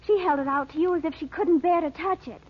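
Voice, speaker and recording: An anxious, loyal American teenage girl in a vintage radio drama. She speaks earnestly in a very high voice, heard through a muffled old recording. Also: fast. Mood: worried. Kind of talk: 1940s radio drama acting.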